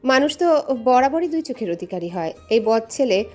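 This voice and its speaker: A woman's voice: on the high side, sing-song, moderate pace, a little mellow. A woman reading a scripted romantic story as a dramatic narrator, with music behind her. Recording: music under the voice. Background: background music.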